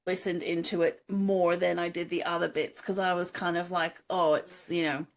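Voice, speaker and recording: Woman, lowish pitch, informal co-host in a book discussion. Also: little pitch movement, normal speed. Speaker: book club podcast co-host. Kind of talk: casual book chat.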